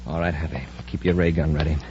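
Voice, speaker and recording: An actor playing a calm, commanding space patrol commander, giving clipped orders in a 1950s radio serial. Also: male voice, rumbling low, fast. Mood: brisk command. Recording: dull vintage radio recording, hiss.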